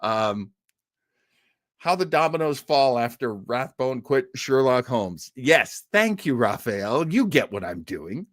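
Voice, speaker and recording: American man, live show host, animated pitch, casual, jokey, rambling asides, dry close sound. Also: takes it slowly, dry recording. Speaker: live stream host of an old-time radio show. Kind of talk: casual live chat with viewers.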